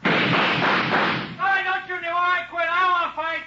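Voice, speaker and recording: A man playing a cornered armed robber, agitated and boastful, in 1950s radio drama style with a husky voice. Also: very high-pitched, flat delivery, unhurried, very noisy. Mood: panicked, pleading. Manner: agitated, insistent. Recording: dull old radio recording.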